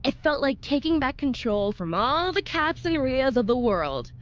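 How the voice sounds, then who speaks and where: Very animated young woman wrestler. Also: high voice, normal speed, lo-fi sound. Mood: mischievous. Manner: expressive, conversational. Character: chatty, scheming young woman wrestler, persuasive friend. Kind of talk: casual game cutscene acting.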